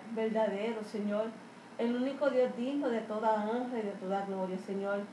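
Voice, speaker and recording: A woman's voice: a little high, a little mellow. A woman leading an extemporaneous, devotional church prayer in Spanish, fervently and repeatedly calling on the Lord. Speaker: congregation member leading the opening prayer. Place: church service. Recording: echoey room with faint background noise.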